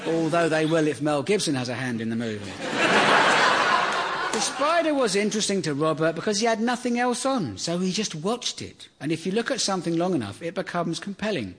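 A man delivering a deadpan, sardonic comedy monologue that builds into exasperated rants. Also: on the high side, fairly quick, slight background noise.

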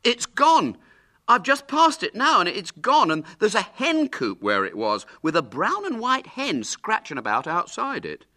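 Man putting on an airy, high-pitched schoolboy. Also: huge pitch swings, unhurried, pushed and bright, exaggerated voice. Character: airy, nonchalant schoolboy calmly selling a tall tale.

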